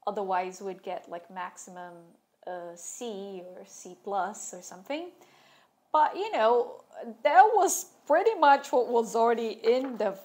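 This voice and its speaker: A woman's voice: mid-range voice, a little slow, darkish tone. A woman in her thirties speaking candidly and wryly in a casual talking-head vlog, seated at a desk in a small room. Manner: candid and wry, with sarcastic asides. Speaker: vlogger sharing her fashion school experience.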